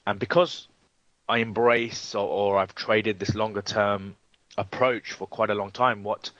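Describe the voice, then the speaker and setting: A man's voice: fairly low pitch, a bit bright, no room sound. A man with a cold, presenting a live trading webinar with frequent ums and restarts. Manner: hesitant, many ums and restarts. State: has a cold, sniffly. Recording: dry, dull lo-fi webinar audio.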